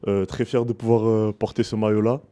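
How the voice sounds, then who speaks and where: A man from France introducing himself as a basketball player, calm and hesitant. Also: fairly low pitch, fairly quick. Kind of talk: self-introduction.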